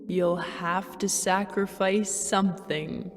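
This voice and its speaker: Solemn game prophetess: unhurried, drawn-out, cavernous reverb, music underneath. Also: female voice, fairly low pitch, steady tone. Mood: solemn warning. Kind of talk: scripted video game cutscene dialogue. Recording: long reverb with music behind.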